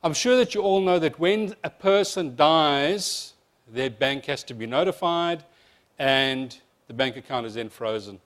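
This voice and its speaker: A South African man with a slight speech impediment presents to an audience of advisers, talking quickly and breaking off for dry jokes. His voice sits a little higher than most men's, and he keeps a casual, chatty seminar tone. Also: lively intonation, fairly bright tone. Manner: fast-talking presenter, jokey asides. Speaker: insurance product presenter. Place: seminar room with live audience.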